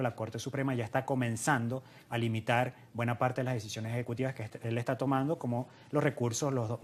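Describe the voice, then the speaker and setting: Male economist explaining in an interview. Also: medium pitch. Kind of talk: spoken analysis in an interview.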